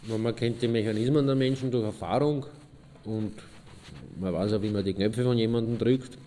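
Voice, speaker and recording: A male actor plays a detached, analytical man in a radio play. His voice is fairly low, dark and relaxed, with deliberate phrasing. Long reverb and faint noise surround it. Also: natural voice. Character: detached man coolly analysing human nature.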